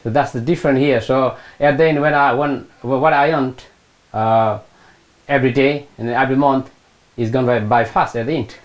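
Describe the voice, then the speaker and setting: A man's voice: mid-range voice, steady tone, moderate pace. A middle-aged man from eastern Nepal with a Nepali accent, recalling his life hesitantly in an interview, often repeating phrases. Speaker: interviewee, retired British Army Gurkha. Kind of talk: oral history interview, personal recollection. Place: small room indoors, seated on a sofa. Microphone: lapel mic.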